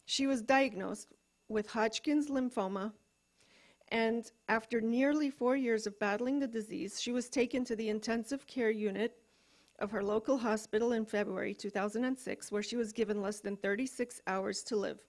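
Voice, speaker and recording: Soft, hesitant woman reading aloud on stage. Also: fairly high pitch, moderate pace. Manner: soft-spoken, hesitant, with filler ums. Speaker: open mic performer. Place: coffee house stage, live event.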